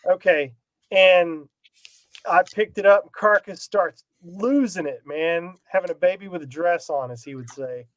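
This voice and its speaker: A man's voice: high voice, measured pace, a bit bright, dry recording. A man hosting a casual talk show while he has a cold, with a laid-back, loose delivery. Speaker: podcast host. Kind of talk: casual chat.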